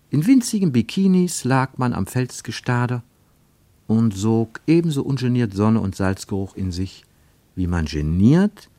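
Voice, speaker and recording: A man with pronunciation reading literary prose for radio, measured and deliberate, with emphatic phrasing and pauses. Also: on the low side, darkish tone, no echo. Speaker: narrator, reader of literary prose. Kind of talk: scripted literary narration for German radio.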